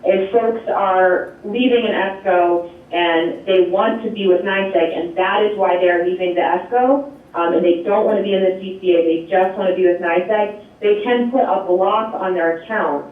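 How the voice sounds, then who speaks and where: A woman presenting a program at a board meeting, explaining and answering questions with a loud voice, many fillers and light laughs. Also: mid-range voice, normal speed. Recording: echoey, distant, tinny phone-like line.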